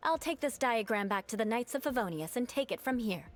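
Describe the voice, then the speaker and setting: A woman voicing a proud, vengeful young woman knight in a game, cold and haughty, with sharp battle cries. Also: a little high, expressive, fairly quick, lightly acted. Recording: game audio with music underneath.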